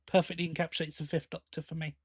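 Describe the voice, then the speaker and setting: A man's voice: a little high, flat delivery, fast talker, breathy edge, dry recording, studio quality. A man co-hosting a podcast, giving his opinions in a casual fan discussion. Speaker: podcast co-host.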